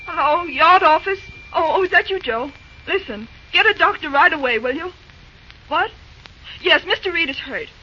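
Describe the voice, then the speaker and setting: A fretful young railroad office woman in an old radio drama. Her voice is very high and bright, full of anxious, caring exclamations. The recording is dull and lo-fi. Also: lively intonation, moderate pace, a little hiss or hum, exaggerated voice. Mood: fretful, urgent.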